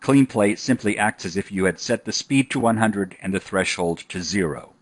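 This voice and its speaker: A man narrating a scripted software tutorial in an instructional style. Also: fairly low pitch, expressive, normal speed, dry recording.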